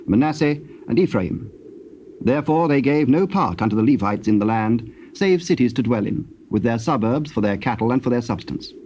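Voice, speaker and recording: Measured British male scripture narrator, noisy tape. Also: mid-range voice, warm tone, muffled recording. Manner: measured, formal. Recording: noisy old cassette tape recording.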